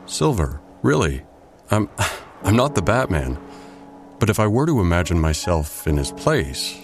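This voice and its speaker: A man's voice: low voice, very animated, a little slow, faint music behind, exaggerated voice. An actor playing a wealthy man deflecting questions with flustered denials. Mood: evasive. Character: rich playboy man hiding a secret.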